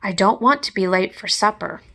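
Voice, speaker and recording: A woman's voice: mid-range voice, normal speed, sharp tone. A woman playing a kind, sensible young boy who gives friendly, instructive advice in a storybook. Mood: hurried.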